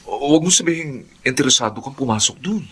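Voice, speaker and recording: A man acting as a supportive, soft-hearted young suitor in a Filipino radio drama, with gentle, hesitant phrasing. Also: mid-range voice, lively intonation, fairly quick, a little hiss or hum. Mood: curious, concerned.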